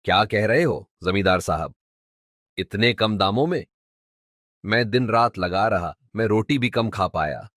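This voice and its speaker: A man's voice: fairly low pitch, animated, unhurried, fairly bright tone, no room sound, studio quality. A man playing a humble, cheeky young village sculptor in a cartoon story, complaining in a comic, dramatic, colloquial way. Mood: comic grumbling. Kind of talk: Hindi cartoon story dubbing.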